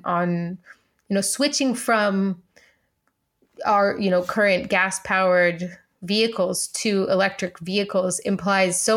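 Female podcast co-host, medium pitch, conversational and analytical, working through ideas aloud.

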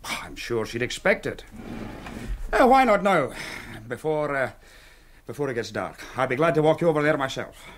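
An actor playing an older, hospitable but probing Scottish island minister in a radio drama, speaking with courteous hesitations. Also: male voice, on the high side, very animated, moderate pace, a little hiss or hum. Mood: probing, genial.

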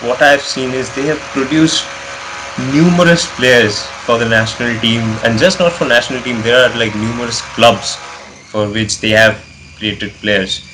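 A man's voice: medium pitch, moderate pace, background noise. A man with an Indian accent interviewing a football coach, asking questions in a casual way that is full of "like". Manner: casual, fills with "like".

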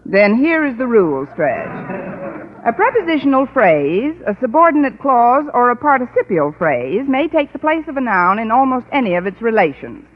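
An actress playing a wry, articulate English teacher with precise diction, in old-time radio comedy style. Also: female voice, a little high, very animated, normal speed. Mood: patient, lecturing. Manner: crisp schoolmarm diction, dry wit. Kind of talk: 1940s-50s radio sitcom acting. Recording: muffled old radio recording.

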